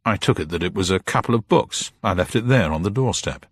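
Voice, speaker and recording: A man acting out a polite, guarded gentleman sleuth in a detective audiobook. He answers in clipped phrases with a husky tone, his pitch lifting and moving freely as he bluffs. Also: lively intonation, brisk, no room sound. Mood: guarded, bluffing. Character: amnesiac gentleman detective bluffing carefully under questioning.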